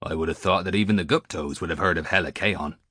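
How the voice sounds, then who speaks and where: A man's voice: sits low, quick pace, pushed and bright, no echo, studio quality. A man voicing a superstitious old male sailor on a harbour beach, stiff and scornful in manner.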